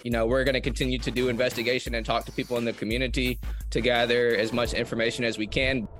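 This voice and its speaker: Man, news founder, measured interview clip, flat pitch, music underneath. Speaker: newspaper founder. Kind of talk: interview soundbite.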